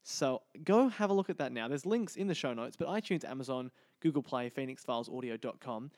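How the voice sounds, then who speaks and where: A man's voice: mid-range voice, animated, a little fast, darkish tone, no echo. Upbeat man, dry close sound. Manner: upbeat, promotional. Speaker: podcast host. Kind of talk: podcast hosting, interview and sign-off.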